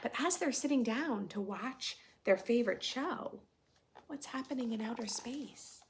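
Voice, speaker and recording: Animated woman reading to young children. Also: on the high side, a little slow, a little hiss or hum. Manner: expressive, questioning asides to young listeners. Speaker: children's story reader and host. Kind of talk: read-aloud storytelling for young children with chatty asides.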